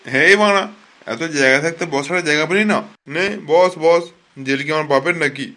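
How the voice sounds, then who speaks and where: A man doing a fast, sharp, blustering comic rogue. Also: fairly high pitch. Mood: hectoring. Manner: blustering, crude, hectoring. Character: brash bullying comic rogues: crooked cops and jailbirds. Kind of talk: fast comic cartoon dialogue in colloquial Bengali slang.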